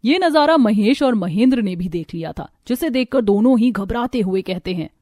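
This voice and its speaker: A woman storyteller reading scripted narration for an animated tale. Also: a little high, normal speed.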